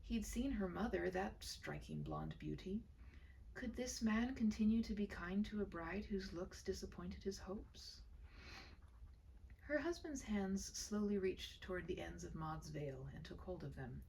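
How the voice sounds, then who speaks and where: A woman reading a story aloud in an expressive, dramatic way, tender and hushed, with pauses for effect. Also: mid-range voice, gravelly, far from the mic, slight background noise, poor audio. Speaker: storyteller reading her own short story.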